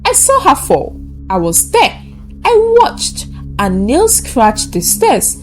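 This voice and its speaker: A woman voicing a calm, firm, protective boarding-school house mistress giving urgent orders in a told story. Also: high-pitched, slow pace, faint music behind, voice pushed up, full character voice, poor audio. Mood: grave.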